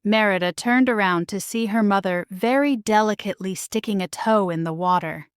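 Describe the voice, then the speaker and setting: A woman's voice: mid-range voice, moderate pace, clean tone, no echo, studio quality. A woman narrating a children's bedtime story from a script as a storybook reader.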